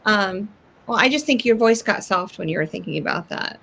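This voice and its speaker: A woman who teaches, chatting calmly and reassuringly in a measured way. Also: mid-range voice, vocal fry, slight background noise. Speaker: teacher and co-host. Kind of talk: casual chat.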